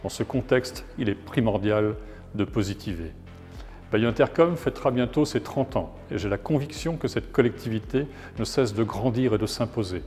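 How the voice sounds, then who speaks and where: A man from France, a local elected official, reading a scripted New Year address in a formal, measured way. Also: lowish voice, a little mellow. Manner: formal, measured delivery of a prepared speech. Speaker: local elected official, head of an intercommunal council. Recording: long hall reverb, music underneath. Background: background music.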